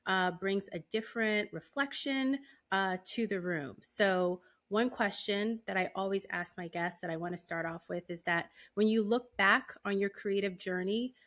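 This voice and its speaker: A woman's voice: mid-range voice, a bit bright. A woman speaking as the host of a podcast, introducing and interviewing in a conversational setting.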